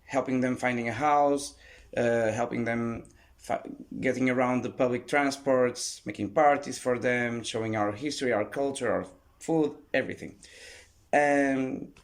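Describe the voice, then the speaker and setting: A man's voice: mid-range voice, fairly even intonation, unhurried. Man hosting a casual podcast. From Portugal. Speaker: podcast host. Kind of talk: casual chat.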